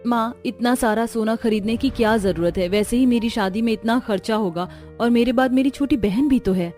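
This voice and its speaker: A woman's voice: a little high, moderate pace, darkish tone, faint music behind, close to an everyday voice. A woman voicing an earnest, dutiful young bride in a cartoon family story. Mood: worried.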